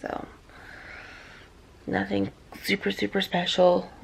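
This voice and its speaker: A young woman, a student teacher, chatting casually in a vlog, rambling with lots of "um" and "like", her voice slightly hoarse as she loses it. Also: medium pitch, completely flat delivery, slow. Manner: rambling, full of "um" and "like".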